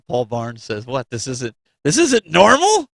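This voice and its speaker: This is a man co-hosting a gaming show. He speaks in a medium-pitched voice with an informal, chatty style. The recording is dry and free of room echo. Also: huge pitch swings, slow, creaky, a bit bright. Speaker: co-host of a gaming news show. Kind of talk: casual chat.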